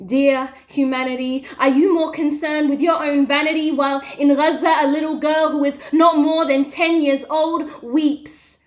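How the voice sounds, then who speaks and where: A woman with a strikingly high voice recites spoken word poetry. Her delivery is impassioned and deliberate, pressing each line as a dramatic accusation. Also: flat delivery. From Western Australia.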